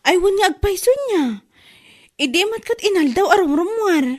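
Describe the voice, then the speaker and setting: A woman's voice: very high-pitched, animated, moderate pace. A woman with an Ilocano accent acting an aggrieved, gossipy laundrywoman in a radio comedy drama, given to exclamations. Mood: gossipy, surprised. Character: gossipy, aggrieved laundrywoman chatting with her friend.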